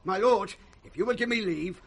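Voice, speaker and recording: High-voiced, scornful old British servant. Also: male voice, normal speed, gravelly, a bit bright, a little hiss or hum. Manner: scornful, insulting, declamatory. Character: blunt, quarrelsome old servant loyal to his king. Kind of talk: 1960s BBC radio Shakespeare acting.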